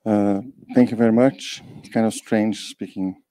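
An older man, a panel guest, giving a thoughtful, measured account of his history into a handheld mic in a meeting room. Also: lowish voice, steady tone, warm tone, no echo, low-quality recording. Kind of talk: panel conversation.

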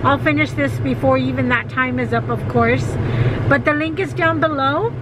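A woman's voice: high-pitched, moderate pace. A middle-aged woman, chatting casually as a delivery driver vlogging from inside a car. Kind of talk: casual vlog chat. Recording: car cabin with clear background noise.

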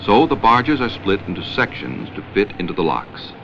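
An American man reading scripted narration for a 1970 educational film in a measured, instructional way. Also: on the low side, sing-song, vocal fry, background noise. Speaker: documentary narrator. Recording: dull vintage 16mm film soundtrack, music.

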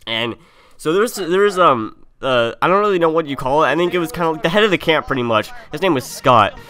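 A man's voice: fairly high pitch, animated, fairly quick, bright, forceful tone, soft background music. A young man, a game streamer, tells a story in a casual, slangy way, acting out dialogue as he goes, on a close mic. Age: young adult. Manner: informal, slangy, acts out dialogue. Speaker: streamer telling a story over gameplay. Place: indoor room at a gaming setup.

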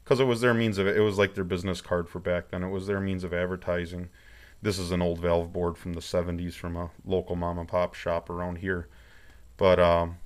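A man with a low voice talks through a hands-on project in a plain, folksy way. He explains things informally and without hurry, recorded on a handheld camera in a workshop. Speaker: amateur tractor rebuilder presenting a project update.

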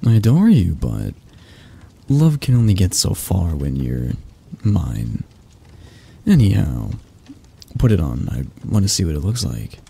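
A man playing a possessive, doting mafia boss in an ASMR roleplay, speaking in a husky, halting, intimate and condescending way. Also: low-pitched, big pitch swings, dark tone, heavily acted. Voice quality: husky, breathy. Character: possessive young male mafia boss, doting yet controlling.

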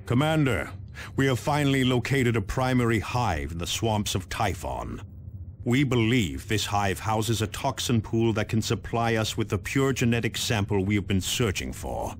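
Serious game officer, lowish voice, formal and measured, faint background noise. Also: male voice, big pitch swings. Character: earnest space marine officer briefing his commander. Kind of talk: video game mission briefing, scripted.

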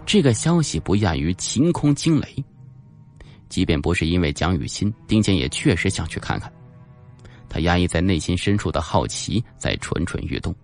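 A man's voice: low-pitched, sing-song, moderate pace, vocal fry, darkish tone, soft background music. A man reading a scripted suspense novel as an audiobook narrator, with a dramatic storytelling delivery.

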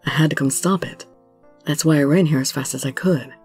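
Woman voicing an earnest, low-pitched lovesick young man. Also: a little fast, a little mellow, husky, faint music behind. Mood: jealous, urgent. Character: earnest lovesick young male musician, intense and pleading. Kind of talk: dramatic romance story reading.

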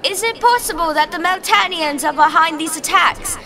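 Pushy female reporter: very high voice, insistent questioning, crowd murmur behind her. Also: moderate pace. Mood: probing. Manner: pressing, insistent, articulate. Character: persistent, probing female reporter at press conference. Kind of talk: science fiction audio drama acting. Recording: crowd murmur of a press room.

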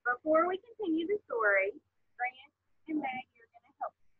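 Lively woman on a tinny video call. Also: very high voice, normal speed, no room sound. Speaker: children's storyteller. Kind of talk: reading a story to children. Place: home room with ceiling fan. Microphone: laptop on a video call.

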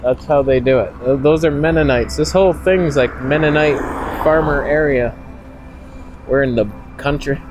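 A man's voice: on the high side, moderate pace, music in the background. A man in his thirties giving casual, joking walk-along vlog commentary into a handheld camera. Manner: wry, joking asides. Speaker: vlogger. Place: on the move through a market and outdoors. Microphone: handheld camera at arm's length. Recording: noisy handheld camera with ambient noise.

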